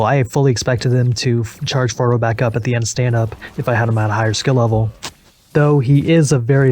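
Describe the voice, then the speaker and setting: Guy doing a chill gaming guide, mid pitched voice, kinda hesitant and informal. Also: darkish tone. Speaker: gaming video creator. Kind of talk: casual mobile game commentary and guide.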